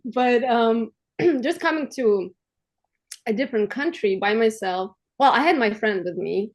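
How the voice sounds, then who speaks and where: A woman from Armenia, raised in Russia, speaking English as a guest, earnest and halting, sharing her story with light laughter. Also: fairly high pitch, expressive. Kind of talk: personal testimony in a conversation.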